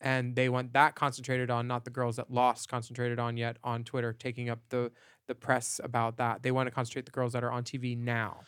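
A man's voice: mid-range voice, completely flat delivery, brisk, fairly bright tone, smooth, no room sound. A man co-hosting a casual gossip podcast from a studio, telling bawdy stories bluntly. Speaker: podcast co-host.

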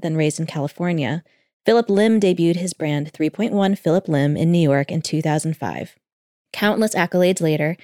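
A woman's voice: fairly low pitch, darkish tone, dry recording, clean studio sound. A woman hosting a podcast, reading a polished scripted introduction. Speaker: podcast host. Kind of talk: scripted narration.

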